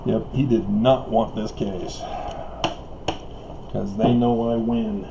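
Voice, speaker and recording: A man's voice: mid-range voice, extremely slow, gravelly, muffled recording, noisy background. A man talking in a rambling solo monologue, chuckling and hesitating often while his voice wears out and he coughs. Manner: chuckles often between remarks; hesitant, many fillers and restarts. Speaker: online video commentator.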